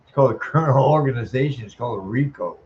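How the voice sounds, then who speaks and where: A man delivering a profane, heated rant to the camera, tagging his sentences with "man" and stalling on long "uh" sounds. His voice sits at an ordinary middle pitch. The recording is a little dull and lo-fi, with a faint hum of the room behind him. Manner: tags sentences with "man", chuckles, stalls on drawn-out "uh". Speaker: vlogger. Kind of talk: profane ranting monologue.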